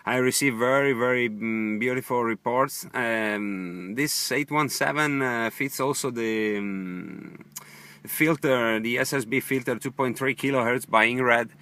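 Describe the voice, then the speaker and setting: Bright-voiced Italian-accented man on ham radio. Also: medium pitch, no room sound. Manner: halting non-native English, courteous. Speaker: amateur radio operator. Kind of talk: unscripted ham radio contact. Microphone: original stock transceiver microphone.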